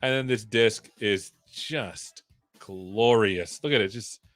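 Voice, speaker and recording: A man's voice: lowish voice, takes it slowly, dry recording, soft background music. A man hosting a casual livestream, rambling playfully with jokey asides. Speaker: live show host. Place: own room at home.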